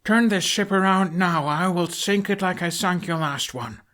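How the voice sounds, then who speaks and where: A man's voice: sits high, normal speed, a bit bright, pitched above the performer's own voice. A man voicing a resolute, strained young male archer in an audiobook, with a commanding manner. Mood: commanding, threatening. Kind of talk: audiobook character voice.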